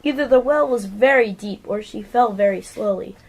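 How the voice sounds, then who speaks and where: A woman's voice: mid-range voice, normal speed, gravelly, poor audio. A female amateur narrator reading scripted storybook narration for a radio play.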